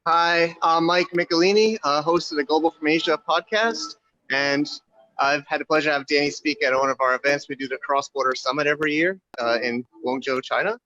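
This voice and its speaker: A man's voice: a little high, a little fast, sharp tone, no echo. A man introducing himself as a podcast host and event organizer over a video call. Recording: dull dry call audio.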